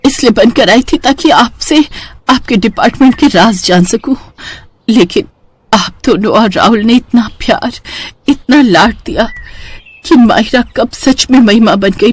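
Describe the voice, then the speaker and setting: A woman's voice: fairly high pitch, a little slow, breathy edge, no echo. A woman voicing a brave, tender former militant devoted to her new family, speaking with earnest emotion. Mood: tender, dying.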